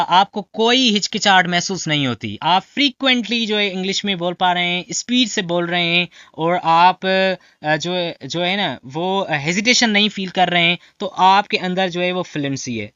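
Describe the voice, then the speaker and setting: A man's voice: high-pitched, sharp tone, clean tone, no echo, highs cut off. A man from Pakistan, teaching English in a lecture style, mixing languages and often checking in with his listeners. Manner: mixes Hindi-Urdu and English, frequent fillers and "theek hai?" check-ins.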